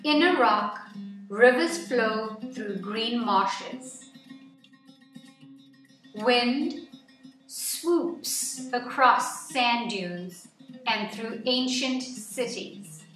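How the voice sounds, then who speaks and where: A woman reading a nonfiction picture book aloud to children in an expressive, deliberate storytelling way, phrase by phrase. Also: on the high side. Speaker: children's story-time reader. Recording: echoey room, distant mic, music underneath.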